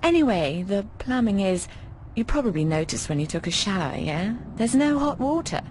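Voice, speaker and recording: An actress voicing a breezy, affectionate, bawdy landlady in a video game, chatting in an expressive, teasing way. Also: medium pitch, normal speed, slight background noise, close to an everyday voice. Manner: expressive, teasing, calls people darling. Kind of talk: conversational video game voice acting.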